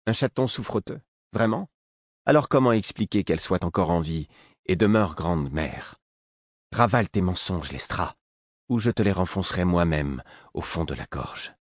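A man's voice: low voice, moderate pace, darkish tone, no echo, studio quality, close to an everyday voice. A man voicing a proud young noblewoman, fierce and indignant, with a cutting, defiant manner, in an audiobook story. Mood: cold fury.

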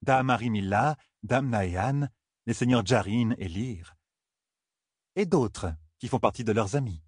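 A man's voice: mid-range voice, unhurried, breathy edge, no room sound, clean studio sound. A man voicing a spiteful, sly noblewoman in an audiobook, needling with false courtesy. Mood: insolent false deference. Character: spiteful, sly noblewoman, insolently deferential to her queen. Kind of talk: audiobook character dialogue.

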